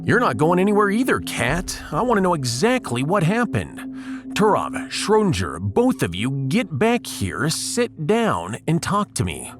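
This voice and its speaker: A man's voice: fairly high pitch, wildly swooping pitch, a little slow, over music. A man playing a wry, authoritative and exasperated starship captain in a sci-fi audio drama. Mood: commanding, exasperated.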